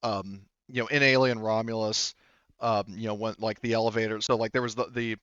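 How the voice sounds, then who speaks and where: A man narrating a tabletop roleplaying game casually as game master, improvising in a halting, rambling way and rephrasing as he goes. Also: lowish voice, flat delivery, a bit bright, clear, resonant tone, no echo, lo-fi sound. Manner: halting, rambling, often rephrasing mid-sentence.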